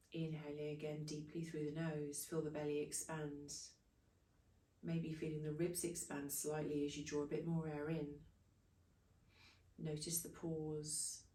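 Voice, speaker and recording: Low, mellow woman guiding meditation, echoey and distant. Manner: soothing, unhurried instruction in short phrases. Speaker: meditation and breathwork teacher. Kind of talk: guided breathing meditation. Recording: echoey room, distant from microphone.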